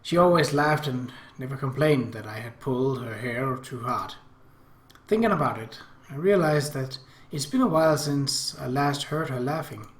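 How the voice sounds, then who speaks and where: A man narrating a visual novel aloud as an expressive, theatrical first-person story reading, in an echoey room. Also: mid-range voice, moderate pace. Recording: roomy, echoey home recording.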